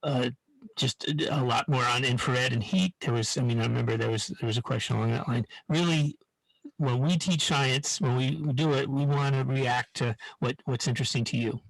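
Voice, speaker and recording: A man's voice: medium pitch, fairly even intonation, moderate pace, vocal fry, dry recording, low-quality recording. A man leading an informal live online science lesson in a hesitant, rambling way, often restarting his sentences. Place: online video call.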